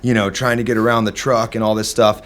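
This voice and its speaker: A man's voice: lowish voice, flat delivery, fast, a bit bright. A man with a Midwestern accent, a drummer telling stories in a casual podcast chat. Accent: Midwestern American. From American Midwest. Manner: rambling storyteller, many fillers and restarts. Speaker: drummer and guest.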